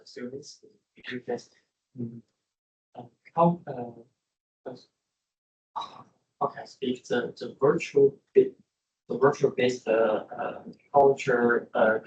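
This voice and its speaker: A student speaks English, stopping often and restarting his phrases as he works out his question. The room around him adds a clear echo. His voice sits at a middle pitch. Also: lo-fi sound. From China. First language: Chinese. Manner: hesitant, many fillers and restarts.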